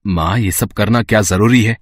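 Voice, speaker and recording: A man voicing earnest, caring young men of a troubled family in an animated melodrama. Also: lowish voice, moderate pace, no room sound, natural voice. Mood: concerned.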